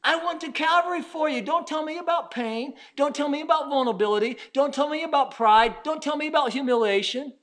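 A man in his fifties preaching a sermon, emphatic, swinging from loud urging to hushed asides and acting out his listeners' objections. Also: very high voice, a little fast, few pauses. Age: 50s. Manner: acts out the doubting listener's objections. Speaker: pastor.

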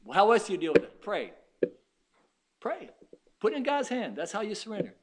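A man in his fifties preaching a sermon, emphatic, swinging from loud urging to hushed asides and acting out his listeners' objections. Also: sits high, big pitch swings, a little fast, background noise. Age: 50s. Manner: acts out the doubting listener's objections. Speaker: pastor.

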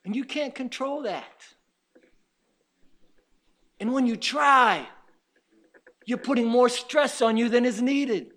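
A man in his fifties preaching a sermon, emphatic, swinging from loud urging to hushed asides and acting out his listeners' objections. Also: extremely high pitch, takes it slowly, pauses often. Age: 50s. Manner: acts out the doubting listener's objections. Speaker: pastor.

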